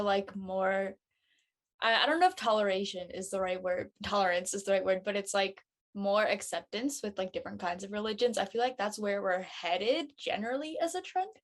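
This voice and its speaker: A woman with a medium-pitched voice talks casually as a panelist. She thinks aloud in an informal, tentative way, searching for the right words. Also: normal speed.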